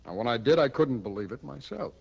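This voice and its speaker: An actor playing a shrewd, weary police captain in 1950s radio drama, gruff, deliberate and plain-spoken. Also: male voice, mid-range voice, expressive, darkish tone, muffled recording. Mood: grim. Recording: old radio recording, background noise.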